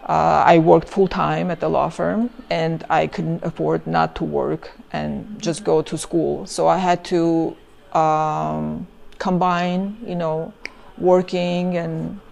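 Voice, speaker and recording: A woman's voice: sits low, level tone, slow, gravelly, slight background noise. A middle-aged woman with an Armenian accent, an immigration lawyer chatting in an interview, full of fillers like "you know" and "like". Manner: many fillers, chatty and warm about family. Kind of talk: casual interview chat.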